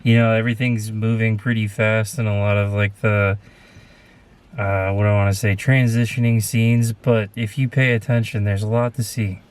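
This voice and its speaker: A man's voice: lowish voice, level tone, moderate pace, clear, resonant tone. A man in a casual film review chat, agreeable and sometimes searching for his words.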